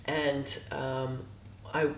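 A woman's voice: rumbling low, flat delivery, very slow, slight background noise. Woman in an echoey room. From Los Angeles, USA. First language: Hungarian. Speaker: guest musician. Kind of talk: casual interview chat.

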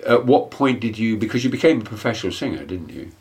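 A man hosting a relaxed interview. His voice is very deep, and he often pauses and restarts as he feels his way toward a question. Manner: hesitant, with fillers and restarts. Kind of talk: casual interview conversation.

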